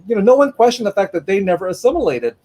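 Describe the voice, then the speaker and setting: A man's voice: high-pitched, big pitch swings, very fast, mellow. A man moderating a livestreamed author conversation by video call, talking thoughtfully with frequent restarts and repeated words.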